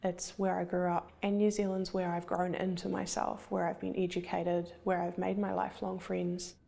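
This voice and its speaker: Young woman from Zimbabwe, some vocal fry, earnest and reflective. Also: lowish voice, level tone, moderate pace, mellow. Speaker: interviewee. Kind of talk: documentary interview.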